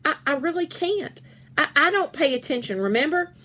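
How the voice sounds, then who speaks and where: Excitable, anxious young schoolgirl played by a woman reader, children's storybook, raised pitch, blurting delivery. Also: moderate pace. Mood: flustered. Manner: blurting, stammering when flustered.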